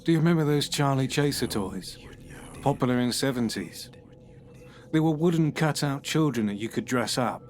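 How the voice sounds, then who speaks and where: Man as a paranoid, bitter suspect in a horror audio drama, mid-pitched, over a hissy noisy track. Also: expressive, a little mellow, lightly acted. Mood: uneasy. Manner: brooding, halting confession. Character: troubled middle-aged man, paranoid and bitter. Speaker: suspect being questioned.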